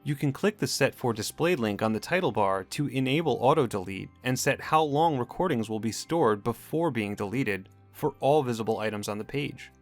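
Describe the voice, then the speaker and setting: A man reading scripted instructional narration in a measured, matter-of-fact corporate manner. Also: mid-range voice, animated. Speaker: tutorial narrator. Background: background music.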